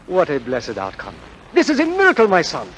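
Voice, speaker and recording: An actor playing an earnest, nervous prison priest in solemn, hesitant 1940s radio style. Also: male voice, high-pitched, wildly swooping pitch, fairly quick, fairly bright tone, full character voice. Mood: excited, awed. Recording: noisy vintage 1940s radio recording.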